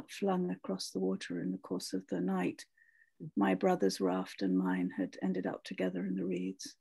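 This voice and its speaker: A woman telling a personal memory in a measured, sombre way over a video call. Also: lowish voice, vocal fry, warm tone, husky. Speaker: former member of a women's arts colony.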